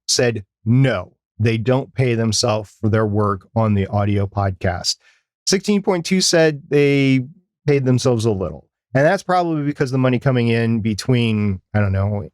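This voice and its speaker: A man's voice: mid-range voice, no room sound, clean studio sound. A man with an American accent talking through points casually as a podcast co-host. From United States.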